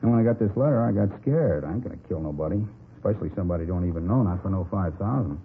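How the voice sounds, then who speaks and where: Gravelly, jittery American bar owner. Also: male voice, low-pitched, expressive, very fast. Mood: rattled. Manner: slangy, emphatic, defensive. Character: nervous, streetwise bar owner insisting he's clean. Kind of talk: 1950s radio drama acting. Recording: tinny, noisy vintage radio recording.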